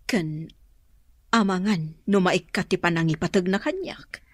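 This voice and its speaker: A woman's voice: lowish voice, measured pace, slightly rough, no room sound. A woman playing a worried, evasive middle-aged mother guarding a secret, in an emotive Ilocano radio drama style with an Ilocano accent. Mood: troubled, reluctant.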